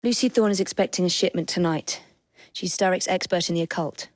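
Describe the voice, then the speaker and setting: An actress playing a young Victorian English assassin woman in a video game, composed and sharp-witted, with clipped, dryly witty delivery. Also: on the low side, a little fast, no echo, close to an everyday voice. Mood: cool, resolute. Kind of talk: video game character voice lines.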